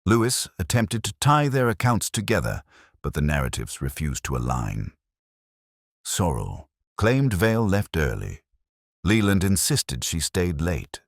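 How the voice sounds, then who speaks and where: Very deep male mystery narrator. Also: wildly swooping pitch, a little slow, dry recording, studio quality. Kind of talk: scripted first-person detective story narration.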